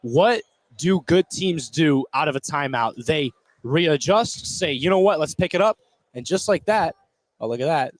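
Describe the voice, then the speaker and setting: Higher-pitched man, casual basketball colour commentary. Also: expressive, moderate pace. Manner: colloquial, interjecting short reactions. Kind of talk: casual live sports commentary. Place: indoor basketball gym, courtside.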